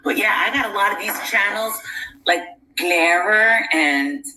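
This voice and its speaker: A woman's voice: medium pitch, unhurried, bright, forceful tone, a little hiss or hum, poor audio. A woman chatting casually, recounting and explaining things, heard on a replayed clip of a chat.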